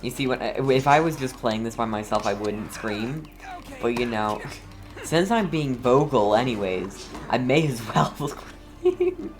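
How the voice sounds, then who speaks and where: A game streamer with a mid-low voice chats casually at a slow pace. The delivery is playful and mock-scolding, with game music clearly behind. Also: animated. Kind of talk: casual gameplay commentary.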